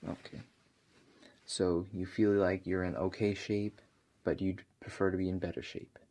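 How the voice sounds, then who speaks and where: A man playing a doctor in an ASMR roleplay, asking questions in a soft-spoken, unhurried, hesitant and reassuring way. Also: low-pitched. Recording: steady audible background noise.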